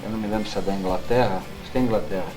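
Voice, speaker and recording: A middle-aged man with a Brazilian accent, reminiscing as an interview guest in an unhurried, halting way, searching for his words. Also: fairly low pitch, steady tone, rough recording. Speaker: guest writer.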